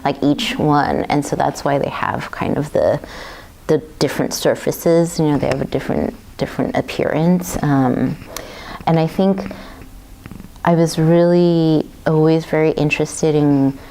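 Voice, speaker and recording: A woman artist from Taiwan talking about her work in a thoughtful, unhurried way, with many fillers and restarts. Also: low-pitched, fairly even intonation, vocal fry, mellow, slight background noise, poor audio. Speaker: visual artist.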